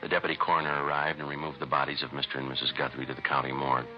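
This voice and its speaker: Very deep deadpan radio detective. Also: male voice, rapid-fire. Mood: deadpan, official. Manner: deadpan, matter-of-fact. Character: terse, methodical male police detective narrating his case. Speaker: detective narrator. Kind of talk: clipped 1950s radio police-drama narration. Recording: muffled vintage radio recording.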